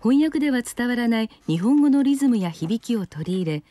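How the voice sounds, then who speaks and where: Quick, mellow woman narrating a report. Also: fairly high pitch, lively intonation, dry recording. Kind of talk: scripted news report narration.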